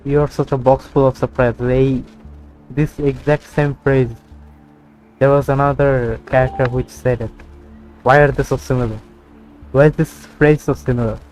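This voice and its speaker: A man's voice: medium pitch, little pitch movement, moderate pace, pauses often, darkish tone, soft background music, rough recording. Rambling young gamer man. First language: Bengali. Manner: rambling and casual, full of fillers. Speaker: gaming streamer. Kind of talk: casual gameplay commentary.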